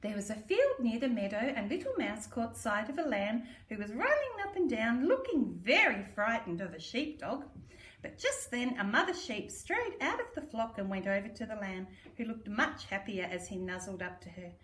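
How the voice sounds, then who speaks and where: Lively high-voiced Australian woman reading to children. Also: normal speed. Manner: sing-song, affectionate, theatrical, addressing small children. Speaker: primary school story reader. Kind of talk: children's storybook read-aloud. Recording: roomy echo, distant microphone.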